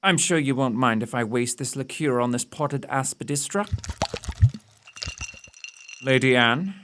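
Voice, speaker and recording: A man's voice: mid-range voice, noisy background, lightly acted. A man acting in audio theatre as a shrewd, courteous detective who speaks precisely and formally. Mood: courteous, assured. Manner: precise, formal, unhurried deduction.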